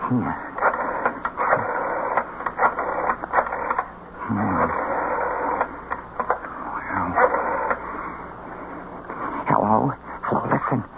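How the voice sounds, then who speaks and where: A man's voice: sits high, creaky, full character voice. An actor in a radio drama playing a nervous, folksy male shopkeeper who hesitates and repeats himself. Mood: furtive, urgent. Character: nervous, chatty male shopkeeper, furtive and excitable. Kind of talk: old-time radio drama acting. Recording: dull noisy old radio recording.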